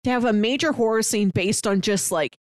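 A woman's voice: mid-range voice, fairly quick, no room sound, clean studio sound. A woman co-hosting a podcast, chatting casually about a film with frequent "like" fillers and playful sarcasm. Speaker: podcast co-host. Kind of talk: casual movie discussion.

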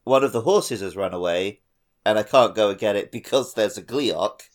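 Low-voiced man explaining matter-of-factly. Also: normal speed. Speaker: podcast co-host. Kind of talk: casual podcast chat.

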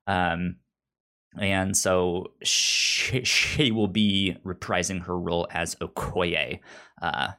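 A man co-hosting a casual pop culture podcast, speaking haltingly and often stammering over words. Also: deep voice, fairly even intonation, pushed and bright. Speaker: podcast co-host.

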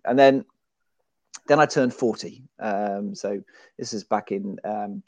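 A man in his forties with a fairly low voice chats easily as a podcast guest. He is self-deprecating and keeps circling back to restart his sentences. The sound is close and dry, with no room around it. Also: slow pace, no room sound. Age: 40s. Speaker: guest, author and runner. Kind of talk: casual podcast conversation.

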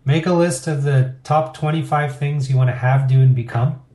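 A man's voice: mid-range voice, fairly even intonation, normal speed, warm tone. Candid middle-aged man, roomy sound. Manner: candid, reflective, rambling with fillers. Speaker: interview guest, business owner. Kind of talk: casual interview talk. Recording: roomy echo.

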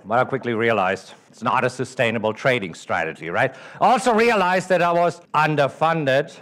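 A man from Germany with a German accent, giving a storytelling talk at a live event, animated and asking the audience questions. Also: mid-range voice, normal speed. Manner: animated, asks the audience questions, acts out the dialogue. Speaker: trading educator.

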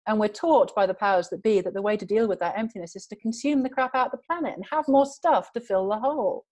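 A woman's voice: medium pitch, quick pace, a little mellow. Woman, interview guest, earnest, emphatic, long flowing sentences. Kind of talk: passionate conversational interview.

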